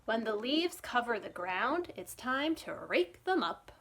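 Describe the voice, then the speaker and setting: Animated, high-voiced woman reading to children. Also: unhurried. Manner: animated, expressive, asks the young listeners questions. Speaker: children's librarian reading a picture book aloud. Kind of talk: read-aloud storytime for young children.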